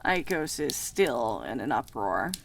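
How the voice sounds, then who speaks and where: Actress as scornful bat goddess for a game: gravelly fry, curt, slow drawl that swings widely. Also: female voice, very deep voice, very animated, slight background noise, exaggerated voice. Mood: weary scorn. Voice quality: creaky. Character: irritable, sneering, short-tempered bat deity. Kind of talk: dramatic visual novel voice acting.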